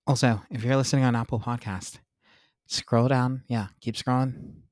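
A young man hosting his own podcast in a casual, upbeat, self-mocking chat, a little congested and clearing his throat. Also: mid-range voice, fairly even intonation, moderate pace, a little mellow, no echo. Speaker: podcast host.

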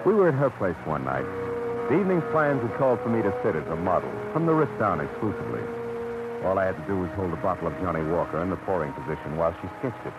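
Deep-voiced American radio detective, wry. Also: male voice, sing-song, fast talker, loud music behind the voice. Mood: wry, reflective. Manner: wry, slangy. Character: wisecracking, lovestruck male private detective. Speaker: detective, narrator. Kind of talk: old-time radio drama acting, hard-boiled narration. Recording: dull, very noisy vintage radio recording.

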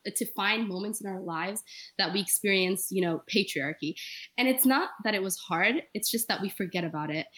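A nineteen-year-old woman from Egypt speaks as a podcast co-host in a casual, animated way. Her voice is at an ordinary pitch for a woman, and she often slips "like" and "you know" into her talk. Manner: animated, laughs between phrases.

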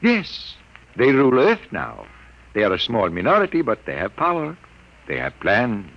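An old earnest scientist, 60s, husky voice, swoops way up and down, old radio play. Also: male voice, medium pitch, huge pitch swings, normal speed, fairly bright tone, heavily acted, rough recording. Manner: earnest, explaining. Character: aging Earthman scientist, earnest and burdened. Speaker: elderly scientist father. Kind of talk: 1950s radio drama acting.

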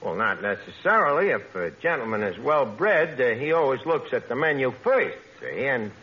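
An actor playing a brash, scheming, lowbrow tavern manager with a New York accent and would-be fancy words, in 1940s radio comedy style. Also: male voice, big pitch swings, normal speed, close to an everyday voice. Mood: scheming, knowing. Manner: mangled grammar with pretentious fancy words, hemming. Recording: dull old radio recording, noisy.

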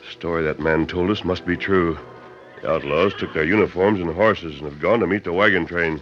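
A man plays a noble masked lawman in a vintage radio western. His very deep voice is grave and decisive, full of authority and resolve. The recording is dull. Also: brisk, faint music behind, lightly acted. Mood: grim anger. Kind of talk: old-time western radio drama acting.

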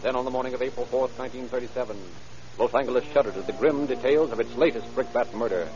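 A man's voice: mid-range voice, fast talker, close to an everyday voice. An actor narrating a vintage radio crime drama as a solemn man with an urgent, dramatic announcer delivery. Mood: urgent, grave. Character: solemn male narrator of a police case. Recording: dull old radio recording, music behind. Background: loud dramatic music.

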